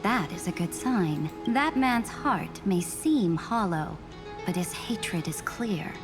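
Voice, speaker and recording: A woman's voice: mid-range voice, animated, slow pace. A woman playing a proud, disdainful monarch with a regal, formal manner in a dramatic anime game. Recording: loud background music.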